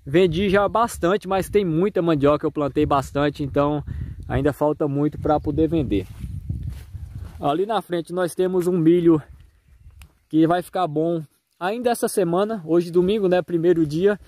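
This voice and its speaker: A man's voice: fairly high pitch, a little mellow, no room sound. A man with a Brazilian accent giving a casual tour of his farm to viewers, recorded outdoors on a handheld phone. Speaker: farmer and video host.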